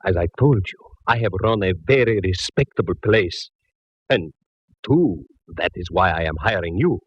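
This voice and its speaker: An actor playing a nervous middle-aged restaurant owner, pleading in broken English, from a dull old radio broadcast. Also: male voice, low-pitched, lively intonation, moderate pace, breathy edge, no echo. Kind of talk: 1940s radio acting.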